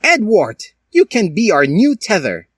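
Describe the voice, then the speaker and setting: A man's voice: high voice, huge pitch swings, unhurried, dry recording, clean studio sound, pitched above the performer's own voice, heavily acted. A man voicing an irritable, scheming male school professor in a fan fiction story, exclaiming and sneering.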